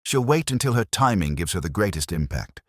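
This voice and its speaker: A man voicing a calm, courteous male police inspector who talks in a quiet, measured, grave way in an audiobook. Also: sits low, dry recording, clean studio sound, voice pushed up.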